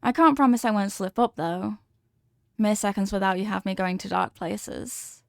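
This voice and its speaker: A woman plays a clingy yandere wife in an ASMR audio drama. She is intense and possessive, coaxing her beloved with melodramatic devotion. Her voice sits at an ordinary female pitch, recorded very dry and intimate. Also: moderate pace, gravelly, no echo, natural voice. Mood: touched, delighted. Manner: coaxing, melodramatic, lingering on words.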